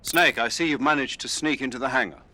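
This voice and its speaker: A man playing an authoritative military commander in a video game, giving grave, measured mission briefings. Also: mid-range voice, pushed and bright. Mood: grave, urgent.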